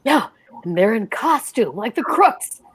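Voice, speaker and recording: A woman's voice: fairly high pitch, big pitch swings, measured pace, slightly rough, full character voice. A woman playing an eager, exclamatory friend in an old-time radio comedy. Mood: worried. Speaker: radio play performer.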